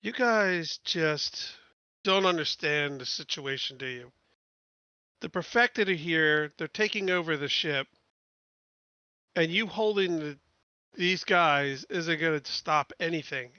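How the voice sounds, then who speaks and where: A man plays an exasperated military drill sergeant in a tabletop roleplaying game, blunt and scornful. The voice is fairly high and forceful, recorded dry with slightly dull audio. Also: slow, smooth, no echo. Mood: scornful, urgent. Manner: blunt, challenging, dismissive. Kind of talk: in-character tabletop roleplay speech.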